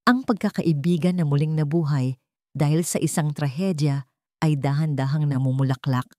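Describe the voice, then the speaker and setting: A woman's voice: deep voice, moderate pace, mellow, no echo, studio quality. A woman with a Filipino accent narrating a scripted dramatic story as an audiobook.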